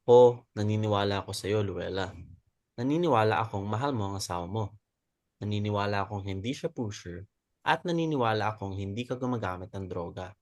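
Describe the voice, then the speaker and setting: A man's voice: on the low side, brisk, dry recording, studio quality, natural voice. A man in a dramatic reading playing a loyal, frank club member who speaks matter-of-factly. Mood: supportive.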